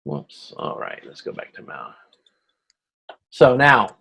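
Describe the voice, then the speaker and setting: A man instructing in an informal online tutorial, thinking aloud in a halting way, correcting himself and checking that he is being followed. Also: medium pitch, sing-song, creaky, bright, forceful tone, breathy edge. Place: online video conference.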